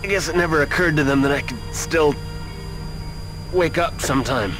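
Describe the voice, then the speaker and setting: A man playing a badly wounded, dying man in a video game, speaking in weak, halting broken phrases. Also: a little high, music in the background, natural voice, low-quality recording. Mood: pained. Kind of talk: video game cutscene acting.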